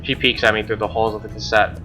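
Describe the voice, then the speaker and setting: A man's voice: on the low side, level tone, quick pace, pushed and bright. A man doing a let's play, reading visual novel narration aloud with casual commentary over game music, on a lo-fi mic.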